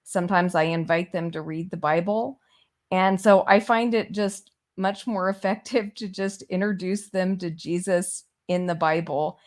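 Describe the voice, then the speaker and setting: A woman's voice: fairly low pitch, steady tone, unhurried. A woman whose first language is Persian, speaking frankly and plainly in a casual discussion, with some fillers.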